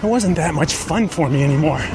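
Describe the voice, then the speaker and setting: A man in his fifties hosting a personal podcast monologue, speaking deliberately in short phrases with pauses. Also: animated. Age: 50s. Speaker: podcast host. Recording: noisy outdoor recording with traffic rumble.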